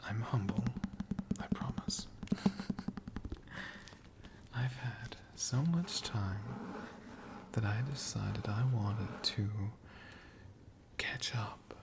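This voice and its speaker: A man's voice: lowish voice, creaky, heavy background noise, exaggerated voice. A man voicing a friendly, humble, bookish dragon in a whispered ASMR storytelling roleplay. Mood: hushed, friendly. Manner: soft whispering, slow and unhurried.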